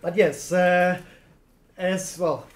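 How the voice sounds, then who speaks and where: A man's voice: high voice, steady tone, extremely slow. German-accented man giving a chatty talk. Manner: chatty, laughing, many fillers. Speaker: conference speaker. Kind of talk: presentation talk. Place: lecture room. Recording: echoey lecture room.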